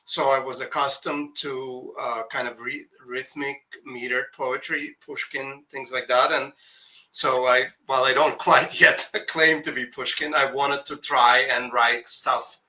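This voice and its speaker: A man speaks with a Russian accent, and English is not his first language. His voice is bright and sharp. He talks casually and hesitantly, full of fillers and restarts. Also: medium pitch, flat delivery, unhurried, low-quality recording. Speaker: poet guest. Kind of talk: casual conversation.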